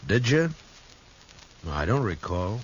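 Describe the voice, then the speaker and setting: An actor playing a calm, grave frontier marshal who also narrates a 1950s radio western, speaking in terse, measured lines. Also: male voice, lowish voice, a little hiss or hum, low-quality recording. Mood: firm, reassuring. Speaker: lead character and narrator.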